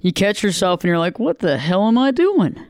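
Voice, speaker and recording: A man's voice: sits high, very animated, fairly quick, no echo, studio quality. A man hosting a conversation, a therapist who talks earnestly and emphatically, acting out inner voices. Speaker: podcast host and therapist. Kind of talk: casual conversation.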